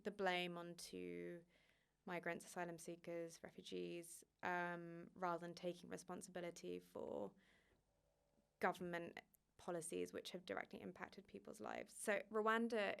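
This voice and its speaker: A woman's voice: fairly low pitch, little pitch movement, measured pace, vocal fry, fairly bright tone, clear, resonant tone. A woman, a migration journalist, answering interview questions thoughtfully, hedging with fillers and restarts.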